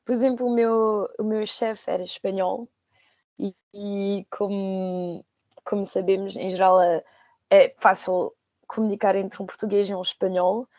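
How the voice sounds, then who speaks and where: Woman, 20s, podcast guest. Soft-edged mellow tone, close dry sound, hesitant conversational delivery. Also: medium pitch, little pitch movement, a little slow, no room sound. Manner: hesitant, searching for words with repetitions. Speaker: podcast guest, former trainee. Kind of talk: conversational interview answers.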